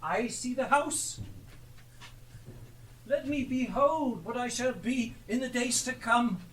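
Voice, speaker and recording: An actor playing a frightened, repentant old miser on stage, with a halting, pleading delivery. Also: male voice, very high-pitched, a bit bright, slight background noise, exaggerated voice. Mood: solemn dread. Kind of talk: theatrical live stage acting. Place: theatre stage. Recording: distant live stage recording.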